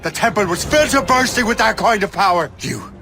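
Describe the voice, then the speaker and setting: Intense brooding adventurer, music behind. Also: male voice, high-pitched, big pitch swings, a little fast, sharp tone, full character voice. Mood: outraged, accusing. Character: hot-blooded brooding male adventurer assassin, appalled and defiant. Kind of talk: dramatic video game cutscene acting.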